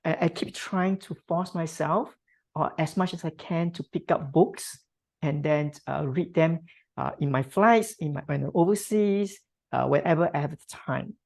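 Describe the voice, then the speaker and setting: A woman from Singapore giving thoughtful interview answers, with many pauses and tag questions. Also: low-pitched, creaky, darkish tone. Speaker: podcast guest.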